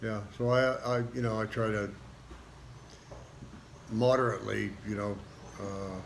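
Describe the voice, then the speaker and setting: An older man, speaking loudly and earnestly in a casual chat with his wife, weighing his words. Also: fairly low pitch, fairly even intonation, a little slow, creaky, slight background noise, poor audio. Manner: loud-voiced, earnest, thoughtful. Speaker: vlogger, husband.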